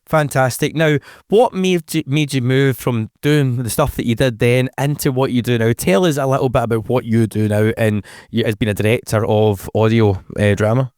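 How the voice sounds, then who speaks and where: A man's voice: mid-range voice, fairly even intonation, a little mellow, no echo, clean studio sound. A man hosting a local radio interview, upbeat and encouraging. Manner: upbeat and encouraging, with a quick stock of praise. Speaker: radio show host.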